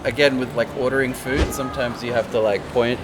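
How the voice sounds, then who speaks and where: A man being interviewed as a traveler, telling a short story in a relaxed way with fillers. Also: mid-range voice, brisk, loud music behind the voice. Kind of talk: casual interview answers.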